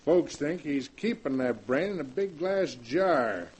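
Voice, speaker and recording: An actor playing a rural sheriff in a 1940s radio drama, with drawling, colloquial small-town speech. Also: male voice, fairly high pitch, animated, a little slow, darkish tone, breathy edge. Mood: folksy. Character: folksy rural male sheriff.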